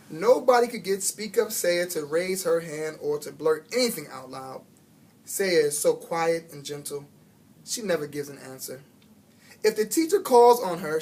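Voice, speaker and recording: A man reads a children's story aloud in a husky voice. His delivery is expressive and emphatic, and he stretches key words for dramatic effect. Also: high voice. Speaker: guest reader of a children's book.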